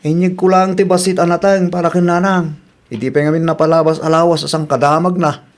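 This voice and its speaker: A man's voice: on the high side, flat delivery, a little fast. A man with an Ilocano accent playing an easygoing, cheerful husband in an audio drama.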